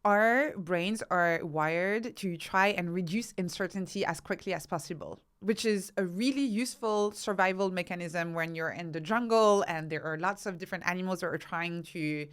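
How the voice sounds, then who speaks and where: A woman's voice: on the low side, moderate pace, few pauses, clean tone. A woman giving explanatory interview answers as a podcast guest, teaching through rhetorical questions and examples. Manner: instructive, uses rhetorical questions and acted-out examples. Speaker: podcast guest, researcher and author.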